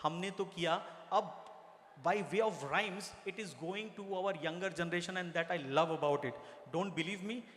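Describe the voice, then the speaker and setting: A man's voice: high-pitched, fast talker, a bit bright. A man giving a chairman's address to a crowd, chatty and playful. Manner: chatty and playful, teasing the audience. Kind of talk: stage address at a community celebration. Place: large event hall. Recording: echoey hall with long reverb.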